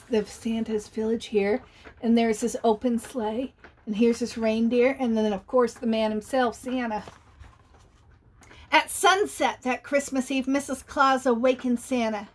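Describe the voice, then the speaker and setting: A woman reading a picture book aloud to children, chatty and animated, often pausing to ask her listeners questions. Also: sits high, husky. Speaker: storyteller.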